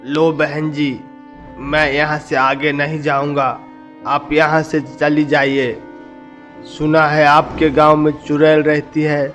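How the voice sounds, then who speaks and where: A reluctant but kindly rickshaw driver in a cartoon, voiced by a man. His voice is fairly high for a male and very even, polite yet firm, with faint music underneath. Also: slow pace. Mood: firm, wary. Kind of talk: dramatized Hindi cartoon story dialogue.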